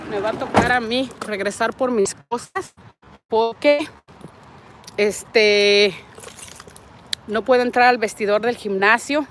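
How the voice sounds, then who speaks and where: A woman's voice: fairly high pitch, takes it slowly, pauses often, a bit bright, slight background noise. A woman in her forties from Nayarit with a Mexican accent, chatting affectionately on a livestream and reading viewers' comments, recorded outdoors on a phone with an earbud mic. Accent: Mexican Spanish. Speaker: vlogger hosting a livestream. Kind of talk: casual live chat, greeting viewers. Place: outdoors in a parking lot and a street. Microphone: wired earbud mic, phone at arm's length.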